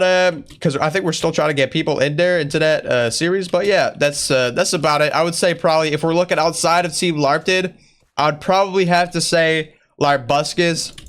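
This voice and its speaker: A man's voice: a little high, moderate pace, a bit bright. A man doing casual, rambling, slangy YouTuber Q&A in a put-on, strained voice that imitates another creator. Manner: slangy bro-talk, rambling. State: voice sore from straining. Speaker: YouTuber answering viewer questions. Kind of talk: casual Q&A vlog chat in character.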